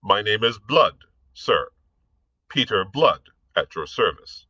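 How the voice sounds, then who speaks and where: A man's voice: lowish voice, very animated, slow, sharp tone, husky, no room sound, clean studio sound, heavily acted. A man reading aloud, voicing a witty, composed physician. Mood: wry. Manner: wry, composed. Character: witty, cool Irish physician, middle-aged man.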